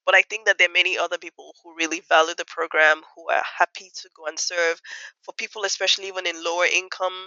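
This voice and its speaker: A woman from Nigeria giving thoughtful interview answers as a guest, measured and reflective with fillers. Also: on the low side, little pitch movement, sharp tone, no room sound, highs cut off. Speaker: guest economist.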